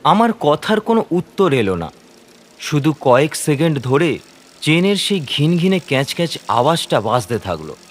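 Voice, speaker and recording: A man's voice: on the high side, sing-song, moderate pace, faint music behind. A man giving dramatic, suspenseful first-person narration of a scripted horror story. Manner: theatrical, suspenseful delivery. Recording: faint ambient noise, croaking frogs behind.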